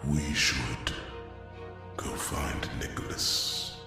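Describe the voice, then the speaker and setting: Ancient, extremely deep, gravelly dragon. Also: male voice, steady tone, exaggerated voice. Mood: resolute, warm. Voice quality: breathy, deep gravelly growl. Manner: slow, measured, grave, with musing hums. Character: ancient, solemn dragon mentor comforting a young companion. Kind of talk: ASMR fantasy audio roleplay. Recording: cavernous long reverb, distant, music bed. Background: music.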